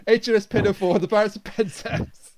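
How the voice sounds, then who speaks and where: A man's voice: high voice, fairly even intonation, a little fast, gravelly, a little mellow. A man co-hosting a podcast, chatting casually as he reviews an audio drama. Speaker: podcast co-host.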